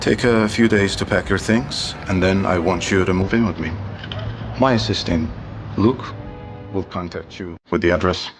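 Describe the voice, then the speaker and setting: Low, commanding billionaire over music. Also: male voice, normal speed. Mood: calm, firm. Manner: curt, commanding. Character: powerful, aloof billionaire groom, commanding and curt. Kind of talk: audio drama acting.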